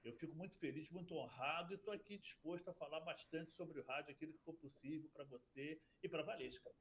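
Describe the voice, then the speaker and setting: A man's voice: on the high side, brisk, a bit bright. A man who works as a radio broadcaster and producer, talking in a chatty interview and telling stories with many restarts. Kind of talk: casual interview talk.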